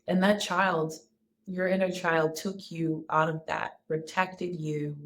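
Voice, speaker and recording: A woman talking to camera in a casual personal vlog, calm and earnest, with a soft voice she calls calming. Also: lowish voice. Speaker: wellness vlogger.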